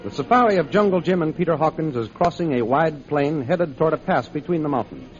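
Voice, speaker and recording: A man's voice: a little high, animated, fairly quick. A man narrating an old radio adventure serial as its announcer, in a formal, dramatic style. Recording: muffled old radio broadcast recording. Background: music.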